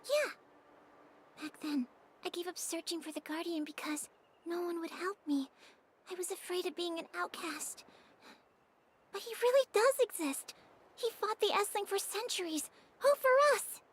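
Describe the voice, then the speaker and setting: Very high-pitched earnest little girl. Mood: regretful. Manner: earnest, halting, heartfelt. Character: earnest, tender young girl, hopeful and determined. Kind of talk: video game dialogue acting.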